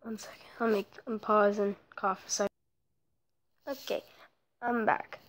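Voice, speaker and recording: A woman giving casual commentary over her own mobile block-building gameplay, rambling and often dropping into soft whispered asides, recorded on her phone. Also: mid-range voice, flat delivery, unhurried, slight background noise. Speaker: let's play creator.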